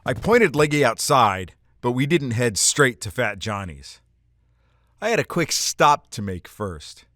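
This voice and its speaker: A man's voice: mid-range voice, big pitch swings, unhurried, pushed and bright, slight background noise. A man playing a cocky, wry, hardboiled hero in a detective audio drama. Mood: wry, confident. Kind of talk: first-person detective drama narration.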